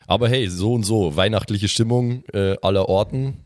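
A man's voice: lowish voice, moderate pace, a little mellow, dry recording, clean studio sound. A man chatting casually as a co-host and player on a tabletop podcast. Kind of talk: casual chat.

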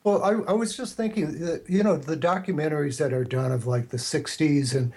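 Husky older man, higher-pitched, reflective. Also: brisk, darkish tone. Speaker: interview guest, radio pioneer. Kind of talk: casual reflective conversation.

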